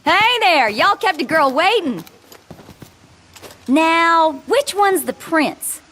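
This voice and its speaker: Perky southern girl mechanic, high voice, slow bouncy drawl, game character. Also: animated, sharp tone, a little hiss or hum, heavily acted. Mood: perky, teasing. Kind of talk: video game voice acting.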